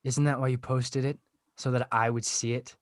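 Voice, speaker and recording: A man's voice: medium pitch, little pitch movement, normal speed, lightly acted. A man playing an awkward, sarcastic, petulant teenage boy in a comedy script read, whiny and prone to stammering. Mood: defensive, smug.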